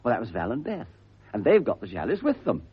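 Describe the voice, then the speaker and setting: An actor playing a flippant, unruffled gentleman adventurer with an English accent and a dry, polite manner in a radio drama. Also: mid-range voice, huge pitch swings, fast. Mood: breezy. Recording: dull, noisy old radio recording.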